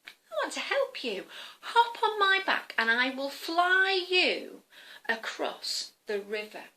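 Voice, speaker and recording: A woman's voice: high-pitched, sing-song, slow pace, bright, forceful tone, a little hiss or hum, pitched above the performer's own voice, heavily acted. A woman voicing a kindly-seeming but sly male dragon in a children's story, coaxing and soothing.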